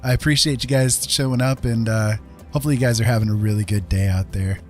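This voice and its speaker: A man's voice: mid-range voice, steady tone, fast talker. A man hosting a casual game livestream, rambling and thinking aloud as he chats with viewers. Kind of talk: casual livestream chat while playing. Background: game music.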